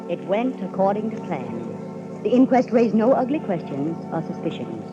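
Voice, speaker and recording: An actress playing a ruthless, scheming, domineering wife in a melodramatic old-time radio horror play. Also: female voice, mid-range voice, sing-song, moderate pace, over music, natural voice. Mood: bitter. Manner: melodramatic, commanding. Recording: muffled, noisy old radio recording.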